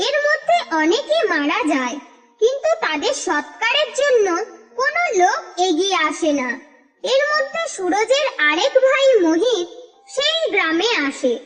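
A woman narrating a cartoon folk tale in a steady, expressive storytelling manner. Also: extremely high pitch, unhurried. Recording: reverberant with music underneath.

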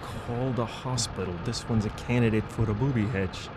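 An actor playing a matter-of-fact, slangy highway patrolman in a radio drama. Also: steady tone, moderate pace, lightly acted. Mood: dismissive. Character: highway patrolman, brisk, dismissive, folksy. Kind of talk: audio drama acting. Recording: very noisy outdoor roadside sound effects.

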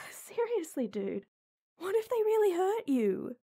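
A woman playing a gruff but caring tomboy best friend in an intimate audio roleplay, blunt and exasperated, with frequent sighs. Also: very high voice, sing-song, measured pace, dark tone, exaggerated voice. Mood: scolding, concerned.

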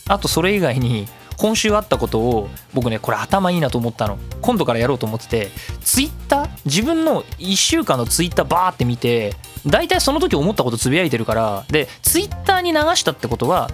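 A man hosting a casual solo radio talk, chatty and emphatic as he explains things step by step. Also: fairly high pitch. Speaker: radio show host. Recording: music behind the voice. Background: background music.

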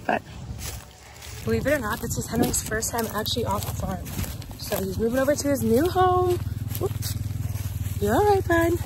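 A young woman in her twenties chatting excitedly on a farm, filming herself with a phone held at arm's length outdoors. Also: a little high, slight background noise. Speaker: vlogger showing her horse property. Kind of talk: casual vlog chat to camera. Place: outdoors on a farm, under a barn roof and in a pasture. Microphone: phone camera held at arm's length.

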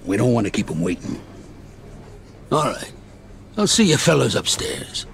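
An actor playing a fatherly old mobster in a game cutscene, calm, knowing and unhurried. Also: medium pitch, creaky, a little hiss or hum. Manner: avuncular, unhurried, streetwise.

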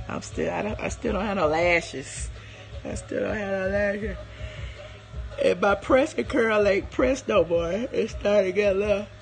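A hoarse woman in her forties, chatting casually on a live stream from her living room, rambling and greeting viewers by name, with a phone held at arm's length. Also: medium pitch. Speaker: livestream host. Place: living room at home, indoors.

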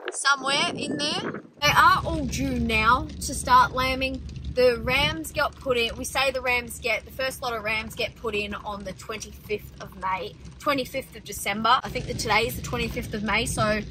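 A woman's voice: on the high side, normal speed, sharp tone, slight background noise, rough recording. A young Australian woman in her twenties chatting casually to a phone camera about farm work, wry and blunt, swearing freely. She films in her car, on a tractor and out in the paddocks. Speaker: farm vlogger. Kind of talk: casual vlog chat. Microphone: phone camera held at arm's length.

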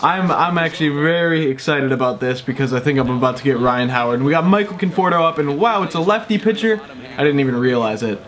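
A man's voice: fairly high pitch, expressive, fast talker, a bit bright. Chatty young man, a bit sick. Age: young adult. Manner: chatty, rambling, upbeat with frequent fillers. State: a little sick. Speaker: gaming YouTuber. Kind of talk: casual gameplay commentary. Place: small room at home, indoors.

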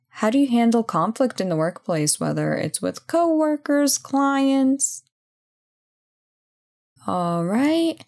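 A woman playing a brisk, playful company boss in an audio roleplay job interview, chatty and full of wry asides. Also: on the high side, a little mellow, exaggerated voice. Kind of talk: ASMR roleplay, one-sided conversation.

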